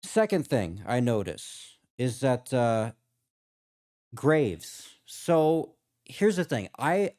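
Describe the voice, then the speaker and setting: A man's voice: medium pitch, sing-song, drawn-out words, a little mellow. A man giving casual reaction commentary as a video host, rambling and thinking aloud as he goes.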